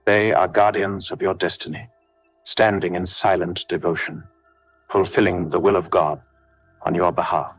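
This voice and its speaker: A man narrating a scripted devotional prayer, speaking solemnly in measured declarations straight to the listener. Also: deep voice, steady tone, no echo. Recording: background music under the voice. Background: music.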